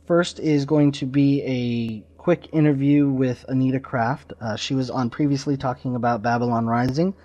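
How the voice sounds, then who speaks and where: A man's voice: mid-range voice, steady tone, normal speed, hardly any pauses, mellow. A man hosting a podcast, giving casual introductions in an informal, slightly rambling way. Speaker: podcast host. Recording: slightly dull lo-fi audio.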